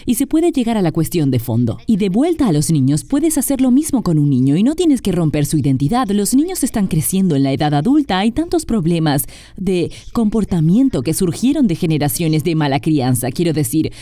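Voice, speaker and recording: A woman's voice: lowish voice, big pitch swings, a little fast, few pauses, warm tone, no echo. A woman speaking as an interview guest, earnestly and emphatically explaining her points in a dubbed conversation.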